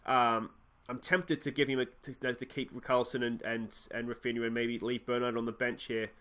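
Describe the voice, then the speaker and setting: A man's voice: mid-range voice, brisk. An Australian man with a slightly nasal voice from a cold, casually talking through his gameplay, rambling and often correcting himself. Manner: rambling, self-correcting, excitable at goals. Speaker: let's play host.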